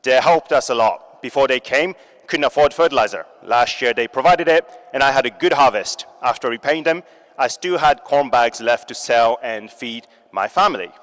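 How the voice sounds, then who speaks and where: A man from Japan giving a startup competition pitch, upbeat and joking with the audience. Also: expressive, normal speed, a bit bright. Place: large hall. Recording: long hall reverb.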